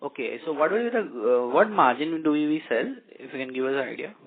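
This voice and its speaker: A man's voice: fairly high pitch, lively intonation, fast. A male analyst, politely asking questions on an earnings call and calling the speakers sir. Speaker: analyst caller. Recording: tinny phone line.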